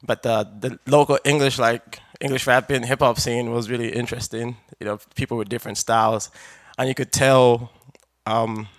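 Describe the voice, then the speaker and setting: A young adult rapper from Zambia, giving a relaxed, rambling radio interview full of fillers and restarts. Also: medium pitch, moderate pace, dry recording, studio quality. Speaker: rapper and producer, interview guest.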